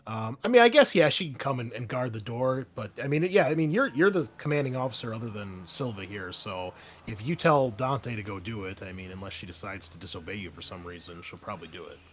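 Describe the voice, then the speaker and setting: A man running a tabletop roleplaying game, speaking informally in halting, hesitant phrases as he sets scenes and guides players. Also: lowish voice, animated, a little hiss or hum. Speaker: game master.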